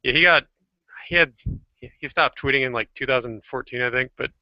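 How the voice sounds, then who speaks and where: Male chat participant, mid-pitched, conversational, close dry sound with a dull internet-call finish. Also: a little fast, no echo. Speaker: participant in an online hangout. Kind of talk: casual group chat. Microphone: online voice call.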